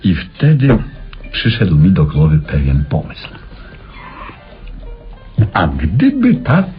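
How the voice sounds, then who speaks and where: An actor playing an eccentric, obsessive male inventor in a radio play, telling his story in a theatrical, halting way with dramatic pauses. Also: deep voice, huge pitch swings, soft background music, full character voice. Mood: feverish, obsessed. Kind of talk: Polish radio play acting. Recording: dull old radio drama recording.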